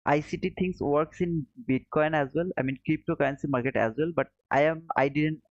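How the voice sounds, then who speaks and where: A man's voice: fairly high pitch, brisk, dry recording. A man from Bangladesh talks casually to his viewers, using the friendly "brother" and little chuckles between comments. The sound is dry and close, with a slightly dull, muffled quality. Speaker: trading livestream host. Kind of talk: casual live chat reading viewer comments.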